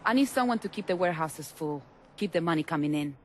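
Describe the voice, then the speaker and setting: Against faint background noise, a tough Cuban smuggler woman. Her lowish voice is blunt, commanding and businesslike, in a cinematic game style. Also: expressive, fast talker, darkish tone. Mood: resolute. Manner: blunt, commanding, dropping Spanish curses.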